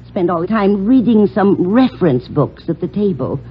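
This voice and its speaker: Actress as fretful gray-haired lady librarian, very lively intonation, radio drama, dull recording with faint noise. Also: mid-range voice, moderate pace, natural voice. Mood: puzzled. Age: older. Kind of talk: old-time radio drama acting. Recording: dull, slightly noisy vintage radio recording.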